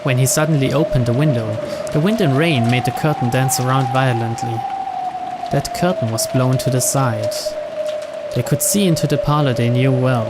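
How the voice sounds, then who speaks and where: A man's voice: mid-range voice, moderate pace. A man reading scripted story narration for a mystery visual novel, with music playing behind him. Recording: loud music over the narration.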